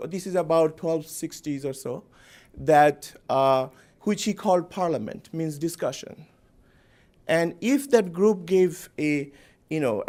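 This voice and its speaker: A man's voice: on the high side, a little mellow. A middle-aged man from Bangladesh speaking on a conference panel, unscripted, with many fillers and some dry jokes. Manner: unscripted, halting with fillers, joking. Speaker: panelist, center director. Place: lecture hall.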